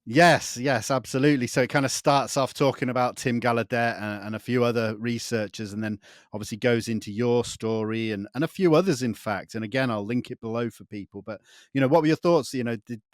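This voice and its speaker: A man's voice: medium pitch, fairly quick, no echo, studio quality. A man hosting an interview in a conversational, agreeable way, affirming what the guest says. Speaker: interview host.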